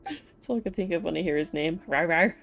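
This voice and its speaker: A woman's voice: on the low side, brisk, vocal fry, a bit bright, faint music behind. Casual woman streamer reacting to a game.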